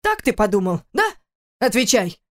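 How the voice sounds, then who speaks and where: Audiobook drama figure: a stern older father acted out by a woman, grieving and angry. The delivery is forceful and reproachful, pressing a grown son with pointed questions. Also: sits high, wildly swooping pitch, moderate pace. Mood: angry, insistent.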